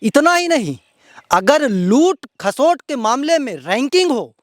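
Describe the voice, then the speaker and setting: A man's voice: very high voice, very animated, a little slow, no room sound, clean studio sound. A man with a Bihari accent presenting outspoken local news commentary to viewers in an indignant, emphatic, repetitive way.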